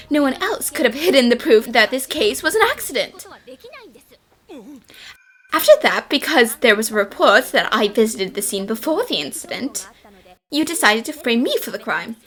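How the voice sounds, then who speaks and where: A woman voicing a confident, cheeky young female detective in an anime fan dub, brisk, smug and theatrical, with music underneath. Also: sits high, pushed and bright, full character voice.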